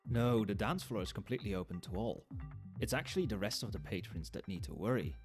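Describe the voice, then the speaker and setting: A man acts out a chatty barman in an audio roleplay, his low voice moving with plenty of lift and dip. He explains things to the listener in an easy, knowing, wryly amused way, with soft club music playing behind him. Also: lively intonation, fast, natural voice. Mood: casual, cautioning. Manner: conversational, explanatory, with hesitations and understatement. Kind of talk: ASMR roleplay, conversational monologue to the listener. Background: soft club music and nightclub ambience.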